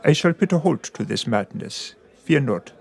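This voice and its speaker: Brother role: resolute nobleman, protective, husky and gravelly, lightly raised pitch. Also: lively intonation, moderate pace, darkish tone. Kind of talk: radio drama acting.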